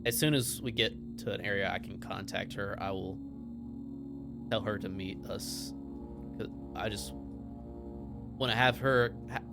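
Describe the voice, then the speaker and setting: A man's voice: lowish voice, unhurried, creaky, pauses often. A man taking part as a player in a tabletop role-playing game, chatting casually about his next move. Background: music.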